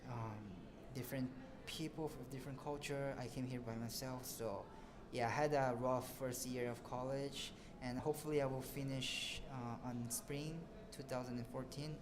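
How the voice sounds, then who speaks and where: A young man in his twenties from Brazil, a student answering interview questions in a relaxed, hesitant way, often pausing to search for words. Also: mid-range voice, crowd noise. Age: 20s. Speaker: student guest.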